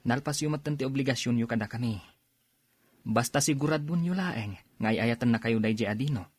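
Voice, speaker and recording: An actor with an Ilocano accent playing a protective, supportive grown son in a radio drama. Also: male voice, medium pitch, fairly quick, breathy edge, no echo, lightly acted.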